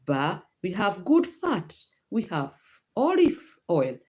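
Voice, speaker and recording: A woman's voice: mid-range voice, wildly swooping pitch, slow, darkish tone. A woman from Kenya with a Kenyan accent, hosting a casual livestream health talk and answering viewer comments, with many fillers and restarts. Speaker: livestream host. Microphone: phone or laptop livestream.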